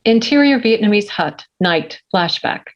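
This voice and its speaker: A woman reading the stage directions of a script at a table read, in a steady, plain way. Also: mid-range voice, unhurried, lo-fi sound.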